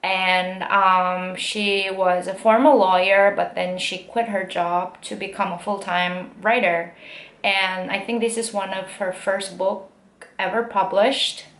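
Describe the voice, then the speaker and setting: A young woman with a Korean accent and American-style English, telling a story casually with many fillers and pauses. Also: medium pitch, fairly even intonation, fairly bright tone. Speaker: aspiring actress. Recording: echoey room.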